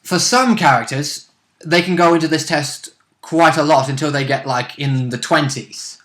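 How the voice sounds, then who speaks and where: Guy co-hosting a tabletop game chat, chipping in to clarify stuff, bright voice, roomy echo. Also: fairly high pitch, normal speed. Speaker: co-host discussing tabletop game rules. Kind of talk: casual chat, chiming in with clarifications. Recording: roomy, echoing room sound.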